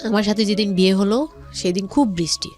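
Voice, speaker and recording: Female interview guest, Bangladeshi accent, medium pitch, halting personal storytelling over background music. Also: steady tone, darkish tone. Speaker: guest telling her life story. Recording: music under the voice.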